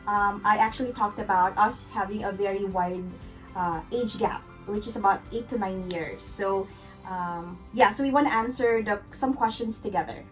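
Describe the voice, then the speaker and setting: A woman's voice: mid-range voice, moderate pace, faint music behind, low-quality recording. A woman with a Filipino accent, chatting casually and laughing often as co-host of a couples vlog. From Philippines. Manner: chatty, giggly, self-correcting. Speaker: vlogger co-host.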